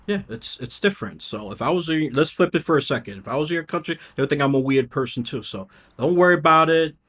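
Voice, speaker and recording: A man's voice: a little high, animated, quick pace, a bit bright. A man talking casually as a podcast guest, blunt and outspoken, rambling with frequent restarts. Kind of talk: casual conversation.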